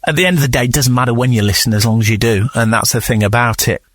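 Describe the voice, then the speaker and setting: A man presents a radio interview in a conversational manner. His voice is mid-pitched and recorded dry, with little sense of space. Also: racing, a bit bright, no room sound. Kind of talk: relaxed interview chat and links.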